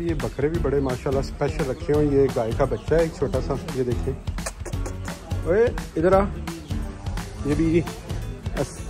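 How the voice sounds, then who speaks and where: A man, giving a casual, informal walk-around tour outdoors, often urging viewers to look. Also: medium pitch. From Pakistan. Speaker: vlog host. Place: outdoors, roadside and open farm sheds. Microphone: phone at arm's length. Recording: background music under outdoor phone audio. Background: music.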